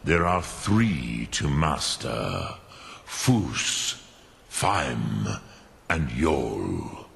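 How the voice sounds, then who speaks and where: An ancient, wise dragon teacher in a fantasy game. The voice is extremely deep and gravelly, unfolding very slowly in ponderous, contemplative musings. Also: male voice, big pitch swings, a bit bright, heavily acted. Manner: ponderous, musing, pausing, sprinkles in dragon-tongue words. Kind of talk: fantasy video game acting.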